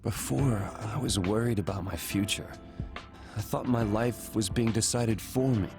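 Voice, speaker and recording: A man's voice: fairly low pitch, a little slow, music in the background. An actor voicing a hesitant, stammering young man who turns earnest, in a game cutscene. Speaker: game character.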